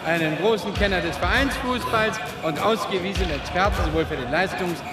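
Man acting a high-voiced pompous club official. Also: a little fast, close to an everyday voice. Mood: ceremonious. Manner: pompous, florid oratory. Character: ceremonious club official proudly introducing a candidate. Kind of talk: radio play acting, formal speech. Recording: echoing hall, loud music behind.

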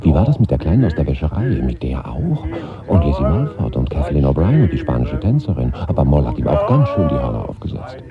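An actor playing a dead man who broods over his mourners from his coffin, in a slow inner monologue in a 1970s German radio drama. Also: extremely low pitch, sing-song, over a noisy crowd, full character voice. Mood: brooding, helpless. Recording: dull recording under loud music.

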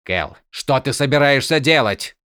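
Man voicing an urgent young soldier, pitched up. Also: big pitch swings, brisk, dry recording, studio quality. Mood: sharp, challenging. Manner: urgent, persuading, sharp questions. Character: insistent, pleading young soldier, betraying comrade.

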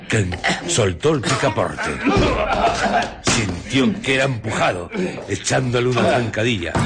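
A man narrating a suspense tale for Spanish radio theatre, with solemn, measured and dramatic phrasing. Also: low-pitched. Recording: noisy radio drama recording with clicks.

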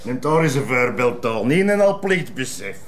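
Actor as taunting Flemish prison bully amid chatter. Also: male voice, mid-range voice, big pitch swings, normal speed, lightly acted. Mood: mocking, bullying. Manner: mocking, pushy. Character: taunting, domineering prison bully mocking a meek inmate. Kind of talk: naturalistic TV drama acting.

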